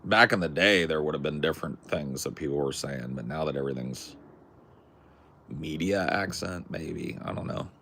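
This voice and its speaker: A man's voice: extremely low pitch, normal speed, bright, forceful tone, slight background noise. A young man from California with a Californian accent, chatting casually in a rambling, jokey, crude way. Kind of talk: casual chat.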